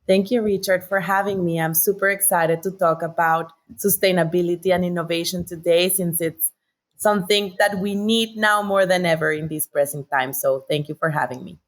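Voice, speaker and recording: A woman's voice: fairly low pitch, fairly even intonation, normal speed. A woman with a Colombian accent, a native Spanish speaker, telling her story articulately and enthusiastically as an interview guest. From Bogota, Colombia. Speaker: interview guest, sustainability director.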